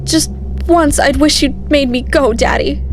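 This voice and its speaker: A woman's voice: high voice, a little slow, background noise. A woman playing a spoiled, haughty rich teenage girl who tells her father about a horrible night, in a dramatic storytelling manner. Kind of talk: fan audio drama narration.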